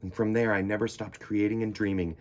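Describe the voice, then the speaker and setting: A man reading a children's picture book aloud in the first person, theatrical, sometimes dropping into hushed emphasis. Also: lowish voice, level tone, brisk. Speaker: children's storytime reader.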